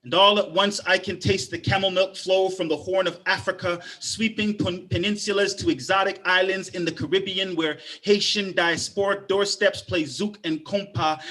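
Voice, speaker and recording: Guy doing spoken word poetry, kinda high bright voice, rhythmic build. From Canada, raised partly in Guyana. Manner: rhythmic, rising performance delivery in the poem. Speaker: poet and arts educator.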